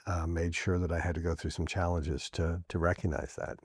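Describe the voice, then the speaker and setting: A man's voice: low-pitched, flat delivery, brisk, breathy edge, no echo, clean studio sound. A middle-aged man from New Orleans, a podcast guest, talking earnestly and reflectively in a casual interview, often restarting his sentences.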